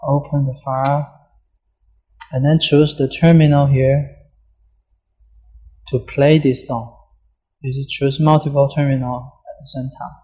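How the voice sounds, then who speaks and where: A man giving an unscripted step-by-step software walkthrough in a halting way, often pausing mid-sentence. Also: mid-range voice, low-quality recording. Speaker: software tutorial presenter.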